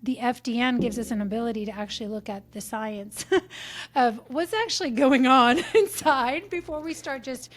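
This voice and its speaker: A woman's voice: on the high side, moderate pace. A woman in her forties hosting an interview, enthusiastic and affirming, laughing often as she talks. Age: 40s. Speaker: podcast host. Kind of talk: casual interview chat.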